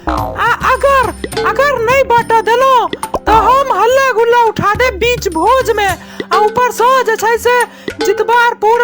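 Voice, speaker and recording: An actor voicing a brash, pushy young village boy in a comic cartoon, gossipy and taunting, speaking with a Maithili accent. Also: very high-pitched. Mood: pushy, threatening. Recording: music behind the voice.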